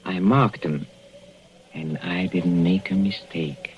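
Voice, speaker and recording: An actor playing a sadistic middle-aged professor with a Central European accent, mocking and chuckling with purring menace in a 1940s radio play. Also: male voice, sits low, fairly even intonation, slow pace. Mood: gloating. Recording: lo-fi vintage radio recording, faint hiss.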